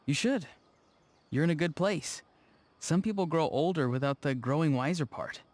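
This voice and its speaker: A man's voice: animated, moderate pace, darkish tone, lightly acted. Man voicing a hesitant, earnest young man. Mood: thoughtful. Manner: hesitant, earnest, low-key. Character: awkward, mellow, earnest young man, video game character. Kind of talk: scripted video game dialogue. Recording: music behind the voice. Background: faint background music.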